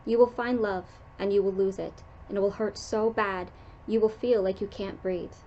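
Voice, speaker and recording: A woman reading aloud who voices an older female palm reader, grave and ominous, in slow, solemn fortune-telling tones. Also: mid-range voice, fairly even intonation, dark tone, lo-fi sound, slight background noise, close to an everyday voice.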